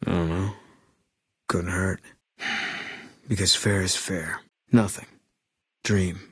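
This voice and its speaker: An actor playing a grim, haunted former soldier and sniper in a video game, speaking in terse, clipped, guarded lines. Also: male voice, low-pitched, steady tone, slow pace, slightly rough, no echo, full character voice. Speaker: companion character. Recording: dry studio sound.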